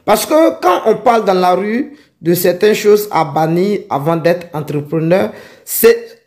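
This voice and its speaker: A man's voice: sits high, a little mellow, roomy sound. A man giving a motivational talk as an entrepreneur and coach, speaking emphatically and repeating phrases for stress. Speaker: livestock-farming entrepreneur and coach.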